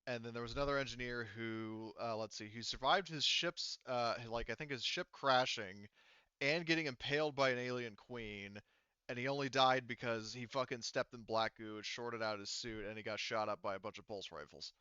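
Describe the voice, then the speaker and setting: Bright, clear-voiced man running a game. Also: mid-range voice, fairly even intonation, moderate pace, no echo, dull audio. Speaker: game master. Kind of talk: casual tabletop game rules talk.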